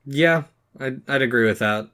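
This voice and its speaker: A man's voice: mid-range voice, moderate pace, bright, forceful tone. A man podcast co-host chatting casually in an agreeable, slightly hesitant and loosely phrased way. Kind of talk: casual chat about games and movies.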